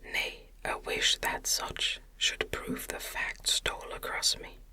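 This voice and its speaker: A narrator reading a classic story in a soft, breathy, soothing ASMR whisper with measured, deliberate phrasing. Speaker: audiobook reader.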